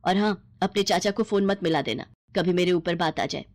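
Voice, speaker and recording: A woman's voice: fairly low pitch, normal speed, darkish tone, close to an everyday voice. A woman voicing a blunt, complaining, stingy middle-aged aunt in a storybook tale. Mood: curt, complaining. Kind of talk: acted storybook dialogue.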